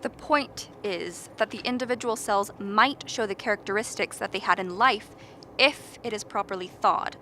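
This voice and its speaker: A woman's voice: a little high, bright, forceful tone, slight background noise. A woman playing an earnest, impatient biologist in a radio drama, lecturing and argumentative. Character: earnest, impatient expedition biologist defending a frozen creature. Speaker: actor in a radio play.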